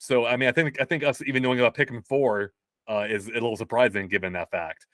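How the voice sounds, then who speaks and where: A man's voice: mid-range voice, steady tone, fairly bright tone, no room sound, clean studio sound. A man appearing as a guest on a gaming chat show, laying out release history precisely and explaining his points. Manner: quick, explanatory, precise about dates. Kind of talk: casual video game chat.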